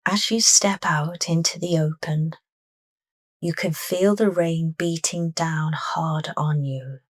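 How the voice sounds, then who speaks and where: Woman, meditation guide, low voice, very slow soft delivery, close dry sound. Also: steady tone, no echo. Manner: soft, unhurried, deliberate phrasing.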